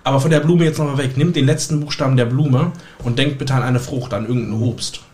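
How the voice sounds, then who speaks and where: A man's voice: mid-range voice, steady tone, fairly quick, darkish tone. A man, chatting casually as a magician guest on a podcast.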